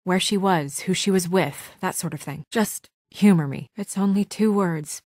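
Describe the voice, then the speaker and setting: A woman playing an earnest, guarded young office administrator in a video game, with measured, soft-spoken, often hesitant delivery. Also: lowish voice, dry recording, clean studio sound, lightly acted. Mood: firm, businesslike. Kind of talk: naturalistic video game voice acting.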